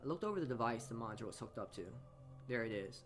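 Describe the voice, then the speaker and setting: A man's voice: medium pitch, animated, fast talker, a bit bright. A man hosting a gaming video, reading a visual novel aloud with casual asides and often stumbling over or repeating words. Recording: music behind the voice. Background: game background music.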